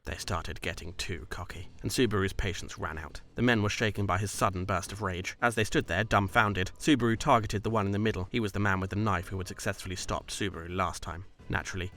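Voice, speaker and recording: A man's voice: deep voice, fairly even intonation, quick pace, hardly any pauses, fairly bright tone. A man reading scripted story narration in an audiobook style, as the narrator of a fan dub.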